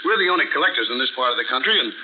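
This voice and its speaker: An actor playing a casual, offhand workplace assistant in a 1940s radio drama. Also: male voice, mid-range voice, expressive, rapid-fire, lightly acted. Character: easygoing male assistant at a spider business. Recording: tinny, noisy 1940s radio recording.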